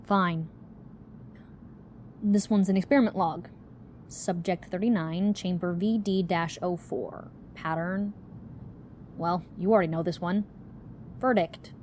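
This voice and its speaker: Terse, deadpan female investigator played by an actress. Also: lowish voice, a little slow, pauses often, slight background noise, lightly acted. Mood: dry, reluctant. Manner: clipped, deadpan. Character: terse, stubborn female investigator, quietly tense travel companion. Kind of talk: audio drama dialogue.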